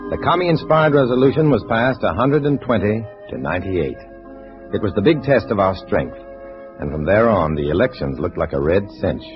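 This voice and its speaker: A low-voiced, wry secret agent tells his story in clipped, dry style. It is old-time radio drama, with music behind and a dull, band-limited sound. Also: big pitch swings, moderate pace. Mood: dry, tense. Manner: terse, dry, hard-boiled. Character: cool, wry undercover agent posing as a Communist, narrating. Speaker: narrator and lead actor. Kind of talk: 1950s radio drama acting, scripted narration.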